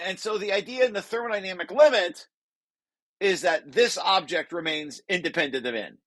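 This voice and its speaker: A man reading aloud from a technical paper and explaining it informally, halting and correcting himself as he repeats words. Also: on the high side, a bit bright, no room sound. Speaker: presenter and paper author.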